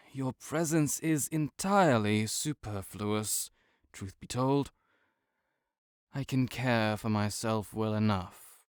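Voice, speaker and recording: Male actor as proud sickly nobleman, sardonic, disdainful, formal archaic speech, slow drawl, smooth clear tone, audio roleplay. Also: medium pitch, no room sound, studio quality, natural voice. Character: proud, bitter, sardonic invalid nobleman, wasting away. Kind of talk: scripted audio roleplay monologue.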